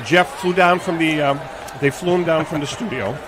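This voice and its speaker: A man's voice: a little high, moderate pace. A man hosting a comedic live parade broadcast with exuberant banter and mock-grand announcing. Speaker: radio show host. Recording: noisy outdoor-sounding broadcast.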